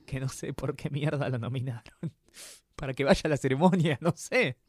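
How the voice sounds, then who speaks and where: A man with a Rioplatense Spanish accent, co-hosting a casual chat about TV series in a relaxed, talky manner. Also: medium pitch, moderate pace, no room sound, clean studio sound. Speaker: podcast co-host.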